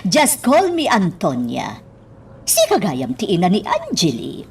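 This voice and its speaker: A woman playing a gracious, sociable, well-to-do lady with a husky voice in an Ilocano radio drama. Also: medium pitch, wildly swooping pitch, unhurried, a little hiss or hum.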